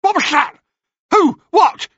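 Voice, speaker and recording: A shrill, screeching ancient hag, frantic and greedy, her cries leaping far above his natural register. The delivery drags slowly, and the dull, dry sound keeps it close and muffled. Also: animated, bright, forceful tone, no room sound, heavily acted. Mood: shrieking. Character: shrieking ancient crone sisters, greedy and frantic.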